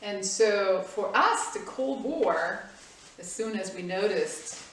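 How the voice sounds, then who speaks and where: A woman's voice: mid-range voice, lively intonation, slow, fairly bright tone, a little hiss or hum. German-accented woman, echoey and distant. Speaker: interviewee. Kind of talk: recalled personal history in an interview. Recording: echoey room, far from the mic.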